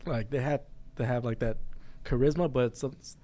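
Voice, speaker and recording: A man with a dark, mellow tone hosts a casual interview, his voice worn as he comes down with something. He speaks haltingly, restarting his phrases, and fills the pauses with soft hums of agreement. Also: mid-range voice, fairly even intonation. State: losing his voice, getting sick. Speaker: podcast host.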